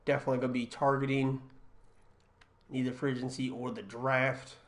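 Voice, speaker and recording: Young guy on a game stream, bit raspy from a cold, mid-pitched, lots of ums. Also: brisk. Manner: relaxed, thinking aloud with many ums and uhs. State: getting over a cold, a little raspy. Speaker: gaming livestreamer. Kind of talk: casual livestream commentary. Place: home apartment.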